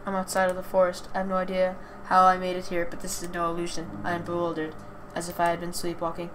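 A woman's voice: on the low side, monotone, fast talker. A woman reading a story aloud with casual asides, stumbling over words and correcting herself. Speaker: reader of a visual novel playthrough. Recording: clear background noise.

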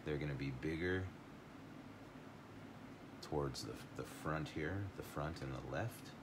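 A middle-aged man teaching a watercolor lesson live, thinking aloud in an unhurried way as he paints at a table. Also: rumbling low, background noise. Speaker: art teacher.

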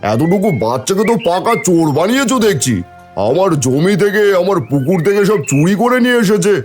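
A man's voice: high voice, very animated, a little fast, darkish tone, soft background music. A man voicing a blustering old village landlord in a cartoon, exclaiming melodramatically. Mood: angry, blustering. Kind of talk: cartoon voice acting.

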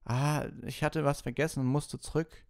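A man voicing a flustered, brisk, bookish teenage girl with a hurried manner in an audiobook reading. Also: on the high side, fairly even intonation, darkish tone, clear, resonant tone, dry recording, studio quality, pitched above the performer's own voice, natural voice.

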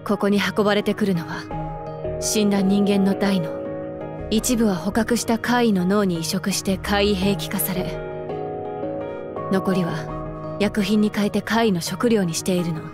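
A woman's voice: medium pitch, fairly even intonation, a little slow, pauses often, close to an everyday voice. A woman playing a serious, resolute young female soldier in an anime game, composed and direct. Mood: grave. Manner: composed, matter-of-fact. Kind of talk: Japanese anime game voice acting. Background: game music.

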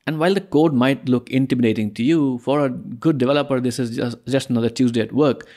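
A man narrating a screen-recorded software tutorial in a conversational, explanatory way, now and then correcting himself mid-sentence. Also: medium pitch, quick pace. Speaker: tutorial presenter.

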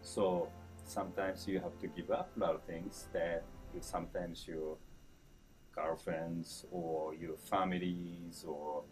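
A man in his fifties from Japan with a Japanese accent, answering interview questions in broken English with chuckles and lively gestures. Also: deep voice, takes it slowly, faint music behind, low-quality recording. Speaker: chef being interviewed. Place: indoor store event, seated before a branded backdrop.

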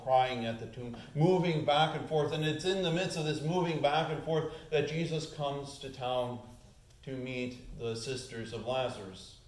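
A man in his forties preaching a sermon as pastor, earnest, lifting his voice on the key points, filmed from a distance at the lectern. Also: medium pitch. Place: church sanctuary, at the lectern. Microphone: far camera. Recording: echoey church room.

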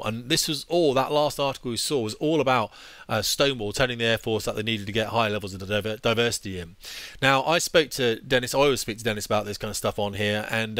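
A man's voice: medium pitch, fairly bright tone, no echo. A man in his forties with a headset mic, ranting indignantly as he comments on articles. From United Kingdom. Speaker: YouTube commentator, former fighter pilot. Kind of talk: opinionated commentary over on-screen articles.

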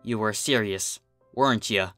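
A man's voice: fairly low pitch, a little slow, a bit bright, dry recording, soft background music. A man voicing a humble, kindly female farm pony. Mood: soothing. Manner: folksy, soothing, plain-spoken.

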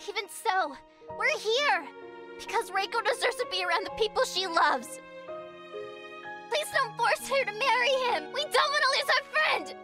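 A woman's voice: very high voice, expressive, normal speed. A woman voicing a blunt, loyal teenage schoolgirl in an anime fandub, emotional and heartfelt. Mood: pleading, indignant. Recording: music behind the voice.

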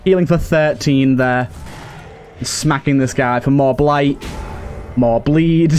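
A man's voice: medium pitch, takes it slowly, darkish tone, soft background music. A man hosting a video game playthrough with casual, conversational commentary. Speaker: gaming host. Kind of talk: casual let's play commentary.

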